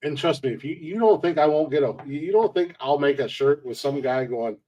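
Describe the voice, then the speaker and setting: A man's voice: a little high, brisk, warm tone, highs cut off. A man in his forties hosting a casual podcast, rambling and restarting sentences, laughing at his own jokes. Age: 40s. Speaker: podcast host.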